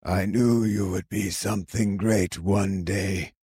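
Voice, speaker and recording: A man reading an audiobook, voicing a dying elderly cobbler father with a cracked, weak voice and slow, halting speech. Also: low-pitched, fairly even intonation, warm tone, breathy edge, no room sound, full character voice. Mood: tender. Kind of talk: audiobook character voice.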